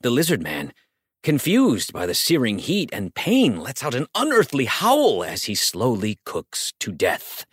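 A man's voice: medium pitch, huge pitch swings, a little slow, slightly rough, dry recording, studio quality. An American man narrating an audiobook in a theatrical, mock-grave, tongue-in-cheek way with emphatic delivery.

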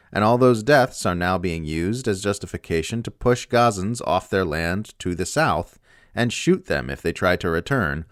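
A man's voice: low voice, dry recording, clean studio sound. A man reading a written political commentary aloud as scripted narration. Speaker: essay reader.

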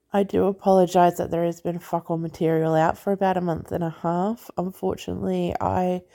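A woman hosting a movie review podcast in a relaxed, chatty way, laughing often and swearing casually, with a congested, stuffy voice. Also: medium pitch, creaky.

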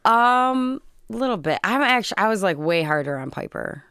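A woman's voice: mid-range voice, lively intonation, normal speed, fairly bright tone, smooth, dry recording, clean studio sound. A woman in her forties talking candidly as a podcast guest, blunt and frank and swearing freely. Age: 40s. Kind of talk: candid casual conversation.

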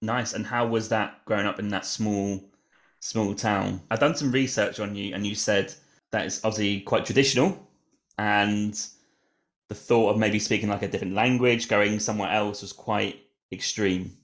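A man's voice: lowish voice, steady tone, moderate pace, a bit bright. A man hosting a podcast, reading an upbeat introduction and then asking casual interview questions. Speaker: podcast host.

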